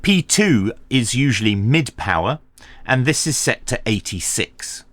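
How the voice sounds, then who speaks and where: A man's voice: mid-range voice, expressive, a little slow, fairly bright tone, dry recording. A man giving a methodical, explanatory hands-on commentary as he presents a product review. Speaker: radio reviewer presenting a video.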